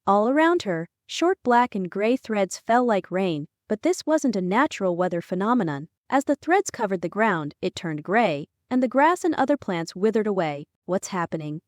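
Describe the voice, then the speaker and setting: A woman's voice: medium pitch, no echo, clean studio sound. A woman narrating a fantasy audiobook from a script, acting out some dialogue within it.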